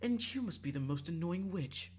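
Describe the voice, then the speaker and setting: A man's voice: mid-range voice, wildly swooping pitch, normal speed, slight background noise. A man voicing a haughty, stubborn young princess in a visual novel, curt and easily annoyed. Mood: defiant. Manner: curt, defiant. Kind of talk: visual novel read-aloud.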